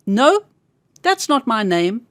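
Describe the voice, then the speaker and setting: A woman's voice: on the high side, big pitch swings, takes it slowly, a bit bright, no room sound, studio quality, full character voice. A woman voicing a gleeful, demanding little old magical man in a storybook tale, teasing and insistent as he bargains. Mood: smug.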